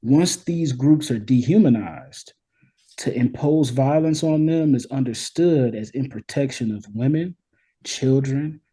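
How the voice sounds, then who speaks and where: A man with a dark, mellow voice and a husky edge speaks as a guest explaining theory in conversation. He is emphatic and keeps checking in with short tag questions as he builds each point. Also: mid-range voice, a little slow, poor audio. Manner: emphatic, checks in with "right?" and "you know what I mean?". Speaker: interview guest, scholar.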